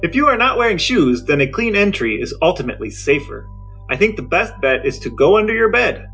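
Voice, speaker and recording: A man co-hosting a podcast, sharing facts in a dry, deadpan way during banter. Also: sits high, a little fast, soft background music. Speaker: podcast co-host.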